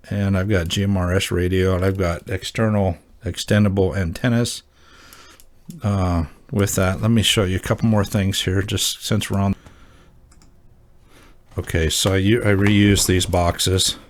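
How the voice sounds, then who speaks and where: Low-voiced man in casual show-and-tell. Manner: unscripted, halting, folksy and informal. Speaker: host of a hobby radio gear video.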